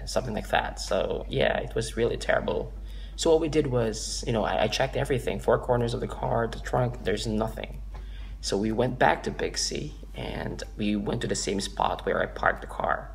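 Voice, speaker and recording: A man from the Philippines, in his thirties, talks straight to a close camera in an office room. His fairly low voice has a gravelly edge, and he rambles earnestly through his story. Soft music plays faintly underneath. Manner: earnest, rambling with restarts and fillers. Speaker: vlogger.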